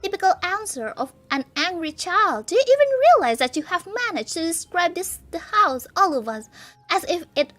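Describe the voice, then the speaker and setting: A woman's voice: very high-pitched, sing-song, moderate pace, a bit bright, soft background music, exaggerated voice. A woman reading the part of a stern, reproachful older stepsister in a visual novel, her tone earnest and lecturing.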